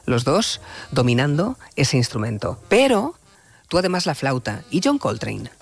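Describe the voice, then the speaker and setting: A man hosts a late-night radio interview. His delivery is musing and deliberate, with rhetorical turns and a mid-range pitch. Faint music plays underneath. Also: sing-song, vocal fry. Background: music playing under the talk.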